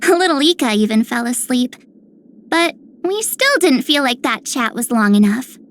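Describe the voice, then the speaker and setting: A very high-voiced bubbly little girl. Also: sing-song, moderate pace, fairly bright tone. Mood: fond, chatty. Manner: childlike, friendly. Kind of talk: scripted game character lines.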